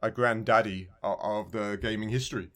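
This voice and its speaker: A man's voice: lowish voice, steady tone, moderate pace, a bit bright. Rambling man chatting casually. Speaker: podcast co-host. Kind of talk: casual chat about retro video games.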